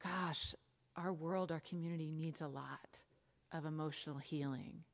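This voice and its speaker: A middle-aged American woman with a low voice preaching a sermon from a church pulpit. She talks conversationally, with asides and emphatic lists, into a lapel mic. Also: steady tone, measured pace. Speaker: pastor.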